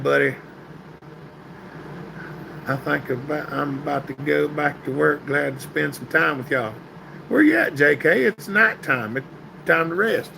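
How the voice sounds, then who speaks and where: A man, hosting a casual live stream and answering viewers by name, his voice getting hoarse and stuffy. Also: on the high side, noisy background. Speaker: livestream host reading chat. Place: indoor workshop room, sitting at a metal table.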